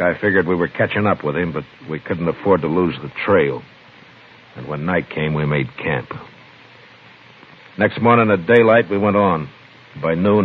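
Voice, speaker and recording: A low, understated American lawman of the Old West, played by a male radio actor. He is steady, terse and deadpan. The sound is a thin, lightly noisy vintage radio western recording. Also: narrow, tinny audio, lightly acted. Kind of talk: 1950s radio western acting and narration.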